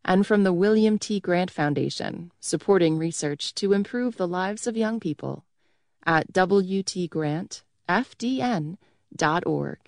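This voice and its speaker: A woman's voice: on the low side, unhurried, a little mellow, dry recording, studio quality. A woman reading scripted sponsor credits as an announcer.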